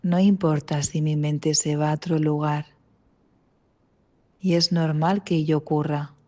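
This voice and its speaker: A woman with an accent from Spain leading a guided meditation, calm and gentle, with long pauses between short instructions. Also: low voice. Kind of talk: guided mindfulness body scan meditation.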